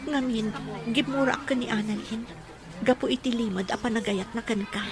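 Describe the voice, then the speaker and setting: A woman's voice: a little high, moderate pace, close to an everyday voice. A woman playing a troubled, earnest older sister in love, in an audio romance drama. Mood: earnest, tender.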